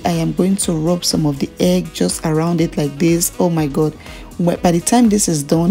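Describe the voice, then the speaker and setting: Low-pitched Nigerian woman, cooking vlogger. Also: creaky, dark tone. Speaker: food vlogger. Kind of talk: casual cooking tutorial narration. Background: background music.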